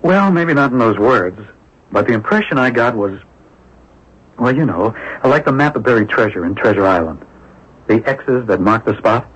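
An actor playing an eager, secretive neighbour obsessed with a mystery in a radio drama, speaking earnestly, with hesitant, insinuating turns. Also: male voice, medium pitch, expressive, moderate pace, a bit bright, breathy edge. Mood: intrigued, secretive. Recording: dull lo-fi old radio broadcast recording.

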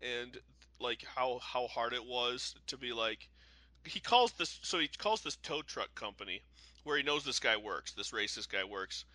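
A man's voice: mid-range voice, expressive, measured pace, bright, forceful tone, dry recording. A man co-hosting a podcast in casual chat, rambling with lots of "like" and restarting his sentences. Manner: rambling, full of "like", trails off and restarts. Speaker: podcast co-host. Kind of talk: casual chat about a TV show.

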